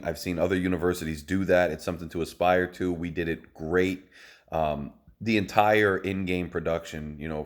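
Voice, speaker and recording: A man with a hoarse voice hosting a casual postgame football recap, rambling and enthusiastic, recorded on a phone. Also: low-pitched. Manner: rambling, enthusiastic, many fillers. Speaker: host. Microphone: phone video.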